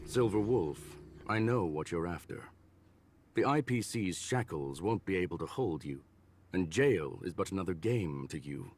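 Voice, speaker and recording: An actor playing a courteous, calculating scholarly gentleman in a video game, speaking in a formal, measured, polite way. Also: low-pitched, expressive, slight background noise. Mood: composed, firm.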